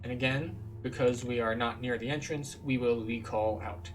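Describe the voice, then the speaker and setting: A man's voice: medium pitch, steady tone, moderate pace, poor audio. A man giving casual, explanatory commentary as he guides a game walkthrough. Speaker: gamer, tour guide. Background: music.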